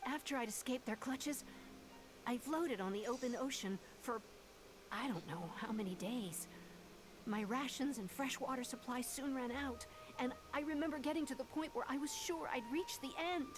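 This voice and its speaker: A woman playing an earnest young female refugee in a video game, telling things in a measured, sincere way. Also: sits high, noisy background, lightly acted. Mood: solemn recounting. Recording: game music behind the voice.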